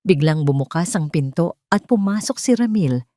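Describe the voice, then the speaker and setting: A woman narrates a scripted romance drama. Her voice sits slightly low, and the recording is close and dry. The delivery is that of a dramatic storyteller. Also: lively intonation, moderate pace, mellow, no echo.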